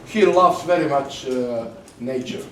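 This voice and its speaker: Halting man announcing from far off. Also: medium pitch, a bit bright. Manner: halting, searching for words. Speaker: event announcer. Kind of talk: opening announcement. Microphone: far, room mic. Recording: distant echoey voice, noisy crowd space.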